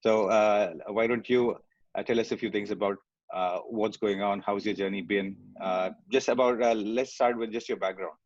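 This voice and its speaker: A man's voice: on the low side, fairly even intonation, moderate pace, dry recording. A man co-hosting a podcast, chatting in a friendly, hesitant way with many fillers. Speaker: podcast co-host. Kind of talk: casual podcast chat. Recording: dry, dull lo-fi sound.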